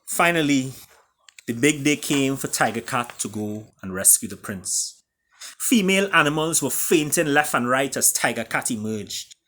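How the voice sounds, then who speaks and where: A man reading and retelling a fable as its author, with dramatic pauses and emphatic delivery. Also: mid-range voice, lively intonation, pushed and bright. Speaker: author reading his own book.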